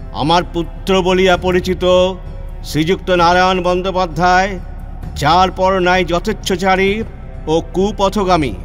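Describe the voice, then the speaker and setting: An actor playing an ageing, stern and wounded scholar-reformer in a declamatory, emotional audio-play monologue with dramatic pauses. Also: male voice, high-pitched. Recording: music under the voice. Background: background music.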